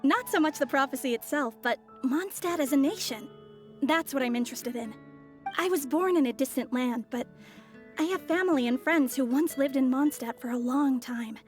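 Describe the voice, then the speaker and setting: Actress as a mysterious foreign traveler: high, soft, courteous, measured. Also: female voice, soft background music, natural voice. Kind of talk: video game voice acting.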